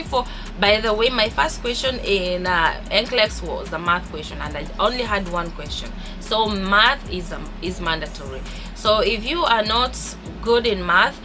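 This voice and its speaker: Chatty Kenyan woman vlogging over music. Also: medium pitch. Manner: chatty, upbeat, repeats words often. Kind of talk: casual vlog talk to camera.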